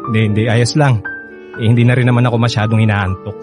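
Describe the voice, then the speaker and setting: An actor playing an evasive, apologetic young boyfriend in a radio drama, hesitant and casual, with a husky voice and a Filipino accent. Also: male voice, medium pitch, level tone, fast talker, over music, natural voice.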